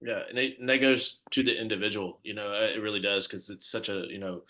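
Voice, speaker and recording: Male host, lowish voice, casual and filler-heavy, runs a coaches' video call. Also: fairly even intonation, fairly quick, rough recording. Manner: chatty, lots of fillers, warmly thanks others. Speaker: club coach hosting the coach introductions.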